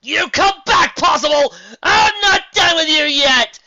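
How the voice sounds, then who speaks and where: A woman voicing a loud, vain male mad scientist villain in a cartoon story. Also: sits low, animated, moderate pace, exaggerated voice. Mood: furious. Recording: dull lo-fi recording.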